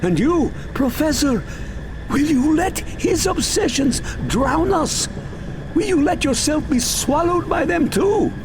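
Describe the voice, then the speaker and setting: An actor playing a loyal but desperate servant in an audio drama, pleading earnestly and formally. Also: male voice, very high-pitched, animated, slow, background noise, heavily acted. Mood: urgent defiance. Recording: music behind the voice.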